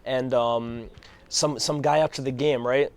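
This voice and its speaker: A hoarse man co-hosting a casual football chat, telling stories in a fast, animated way. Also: mid-range voice, no echo. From Algerian background. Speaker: co-host.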